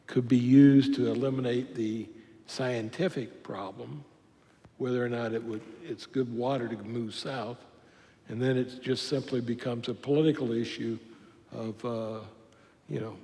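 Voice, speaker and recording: A man on a city council, stating goals in a halting way with many fillers. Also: medium pitch, mellow. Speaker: council member. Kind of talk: council meeting discussion. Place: council chamber. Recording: long reverb of a council chamber.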